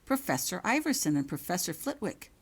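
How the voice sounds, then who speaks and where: Woman as an earnest young schoolgirl witch, lively pitch. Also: fairly quick, breathy edge, full character voice. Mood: prompt, earnest. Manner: polite, earnest.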